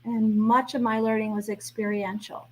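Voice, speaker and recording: A woman's voice: a little high, flat delivery, moderate pace, poor audio. A middle-aged woman being interviewed as a guest, speaking reflectively and laughing lightly between thoughts. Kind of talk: interview conversation.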